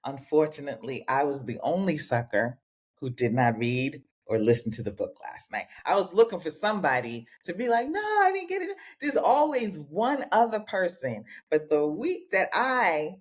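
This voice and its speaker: A woman hosting a casual talk show with a co-host, chatting animatedly and swearing freely, from Rhode Island. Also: normal speed. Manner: animated, swears casually, big pitch jumps. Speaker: podcast host. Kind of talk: casual chat.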